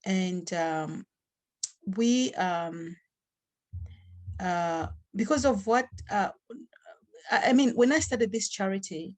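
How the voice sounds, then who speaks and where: A woman from Zambia with a Zambian accent gives unscripted interview answers as a charity founder, haltingly, often repeating and rephrasing. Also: medium pitch, rough recording.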